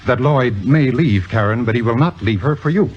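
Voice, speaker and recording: A man's voice: mid-range voice, expressive, normal speed, breathy edge. A man playing a suave, cynical, domineering theater critic in a 1950s radio drama, speaking precisely with dry theatrical sarcasm. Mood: cold, menacing. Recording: dull vintage radio recording with music.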